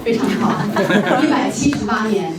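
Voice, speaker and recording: A woman emcee gives a rousing ceremonial introduction and warms up the audience. Her voice is fairly high. She sounds distant in a very echoey hall with a crowd behind her. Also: moderate pace. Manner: excited, rousing, calls for applause. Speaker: event host introducing the speaker.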